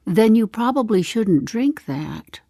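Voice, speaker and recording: A woman's voice: fairly high pitch, sing-song, takes it slowly, warm tone, no echo, studio quality, pitched above the performer's own voice, full character voice. Actress doing a plaintive, self-pitying old woman. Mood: dismissive.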